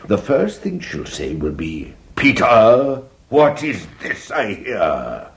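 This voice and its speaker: An actor playing a pompous, worldly English gentleman scheming for a friend in a stage farce. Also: lowish voice, huge pitch swings, slow, exaggerated voice. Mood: conspiratorial coaching. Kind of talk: vintage stage farce acting.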